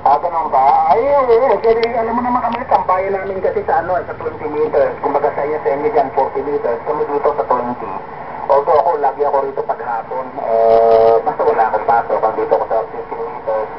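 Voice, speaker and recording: Male ham radio operator, Filipino accent, high-pitched, casual on-air chat through heavy static. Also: fast talker. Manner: code-switches into English, uses radio jargon. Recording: dull, very noisy amateur radio signal.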